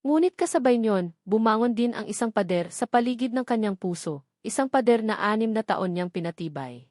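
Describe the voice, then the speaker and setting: A woman's voice: on the high side, animated, fairly quick, a little mellow, no room sound. Woman narrating drama, very dry recording. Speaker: storyteller. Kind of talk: dramatic scripted story narration.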